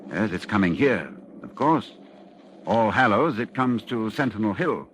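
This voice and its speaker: An actor playing a grave, learned occult scholar with a solemn, halting delivery in 1940s radio drama style. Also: male voice, lowish voice, lively intonation, a bit bright, a little hiss or hum. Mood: urgent, resolute.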